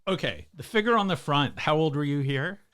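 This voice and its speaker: Lively man hosting an interview podcast. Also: fairly high pitch, brisk, smooth. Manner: lively, inquisitive questioning. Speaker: podcast host and editor.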